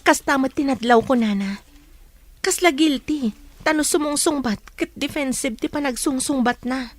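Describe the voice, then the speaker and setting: A woman playing a high-voiced, insistent young Ilocano investigator. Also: normal speed, no room sound, lightly acted. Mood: earnest, doubtful. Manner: probing, insistent questioning. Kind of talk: Ilocano radio drama acting.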